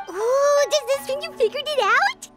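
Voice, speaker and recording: A woman voicing a tiny, excitable female fairy companion in a video game, with a high squeaky voice and a bossy, boastful way of talking. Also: normal speed. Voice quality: high squeaky voice, talks about herself in third person. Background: game music.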